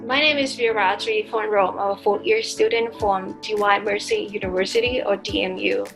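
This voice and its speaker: A woman's voice: mid-range voice, steady tone, moderate pace, creaky, over music, rough recording. A woman with a Thai accent, a doctoral student, giving a careful, formal testimonial about her training. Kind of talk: prepared testimonial.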